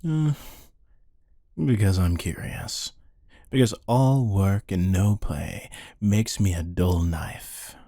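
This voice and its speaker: A man playing a menacing, amused hired killer who taunts a captive in a deadpan, unhurried ASMR roleplay monologue. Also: deep voice, dry recording, clean studio sound, exaggerated voice. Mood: wry, musing.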